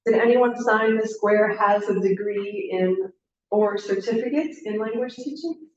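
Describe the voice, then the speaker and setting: A woman's voice: mid-range voice, measured pace, slight background noise. A middle-aged woman leading a language teacher training, friendly and encouraging, putting questions to the group. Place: classroom. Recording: roomy, distant, slightly dull.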